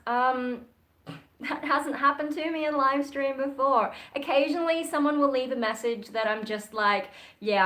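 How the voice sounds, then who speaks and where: A woman's voice: a little high, sharp tone. A young Australian woman chatting casually on a livestream, reading out and answering viewer comments. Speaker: YouTuber.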